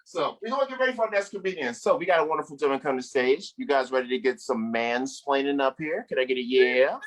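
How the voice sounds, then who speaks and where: A man works as a stand-up show host, his pitch moving around in a lively way. He banters directly with the crowd in loose, profane language and builds up each act. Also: fast. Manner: profane, hyping, addresses the crowd. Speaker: comedy show host and comedian.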